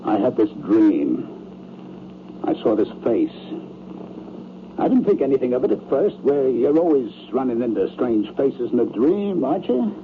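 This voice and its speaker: An actor playing a blunt, uneasy rich man in an old-time radio drama, with halting, defensive, streetwise speech. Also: mid-range voice, sing-song. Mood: earnest confiding. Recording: tinny, noisy old radio broadcast.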